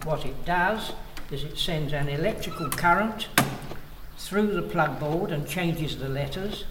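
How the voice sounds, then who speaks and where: A man's voice: on the high side, a little slow. Echoey, distant older male tour guide. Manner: step-by-step explaining. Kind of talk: live explanatory demonstration. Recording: distant, echoey hall, loud noise.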